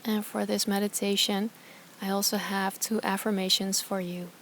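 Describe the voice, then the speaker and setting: A woman with a mid-pitched, very even voice leads a guided meditation. She speaks softly and calmly, leaving space between short phrases. Clear ambient noise sits in the recording. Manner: calm, soft, soothing, with slow pauses between phrases. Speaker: yoga teacher, meditation guide.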